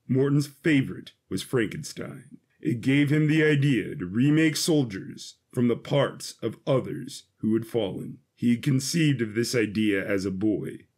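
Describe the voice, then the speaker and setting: A man's voice: medium pitch, a little mellow. A man reading scripted fiction as the narrator of a story.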